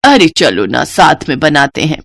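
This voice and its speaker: Woman voicing a low-pitched, bossy Indian mother-in-law. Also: sing-song, unhurried, vocal fry, a bit bright, heavily acted. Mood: coaxing, insistent. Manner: bossy, nagging, easily fooled. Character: scolding, gullible middle-aged mother-in-law. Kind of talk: acted dialogue in a Hindi moral story.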